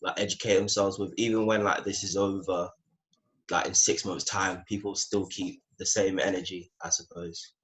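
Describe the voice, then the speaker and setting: Young guy, low flat voice, hesitant, says "like" a lot. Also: moderate pace, rough recording. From Ghana. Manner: tentative, with "like" fillers. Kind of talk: casual spoken reflection.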